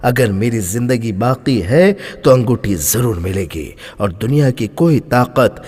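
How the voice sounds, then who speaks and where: A man's voice: mid-range voice, expressive, unhurried, a little mellow, slightly rough. A man voicing a humble, devout baker in a story, with an earnest, respectful manner. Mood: confident. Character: humble, devout male baker, calmly trusting in fate. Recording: long hall-like reverb.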